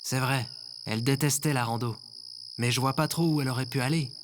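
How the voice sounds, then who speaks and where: An impulsive but remorseful everyman, played by a man in an audio drama. His medium-pitched voice is casual and colloquial, with clear noise behind it. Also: fairly even intonation, a little fast, natural voice. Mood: worried. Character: impulsive, remorseful ordinary guy, last human survivor. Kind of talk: scripted audio fiction acting.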